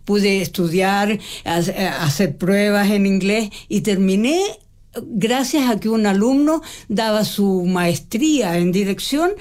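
Older woman, halting and reflective. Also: mid-range voice, a little mellow. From Mexico. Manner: reflective, halting, with frequent restarts and laughs. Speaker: interviewee, stage actress. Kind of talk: conversational interview.

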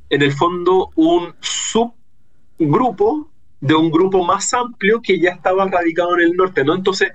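A man's voice: high-pitched, dull audio. A man with a Chilean accent, explaining things conversationally as an academic guest in a radio interview.

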